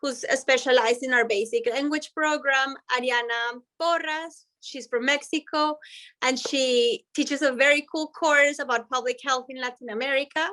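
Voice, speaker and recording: A woman's voice: high-pitched, steady tone, moderate pace, pushed and bright. A woman from Colombia, a language professor giving an upbeat presentation on a video call. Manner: upbeat and explanatory, speaks in long flowing sentences. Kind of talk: presenting in an online panel.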